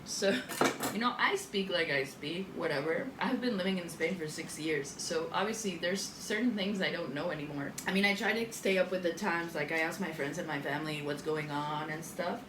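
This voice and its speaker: A woman's voice: on the low side, normal speed. A young woman, chatting and rambling animatedly through stories while cooking in her home kitchen. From Mexico. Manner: rambling, animated storytelling with swearing. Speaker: cooking vlogger. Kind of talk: casual chatty cooking vlog. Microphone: far camera. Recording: roomy kitchen sound from a distance, audible noise.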